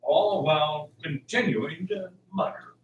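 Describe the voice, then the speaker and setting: Male narrator for radio drama, fairly high voice, scripted read, off-mic with faint noise. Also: a little slow, dull audio. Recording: roomy, distant, faint background noise.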